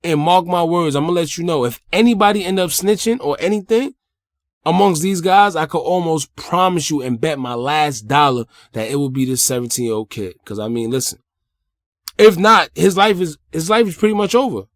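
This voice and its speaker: A man's voice: on the high side, a little fast, no echo. American man giving dry, close-sounding commentary. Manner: colloquial, conversational, opinionated, addresses viewers directly. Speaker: host of a hip-hop news commentary channel. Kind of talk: news recap with casual personal commentary.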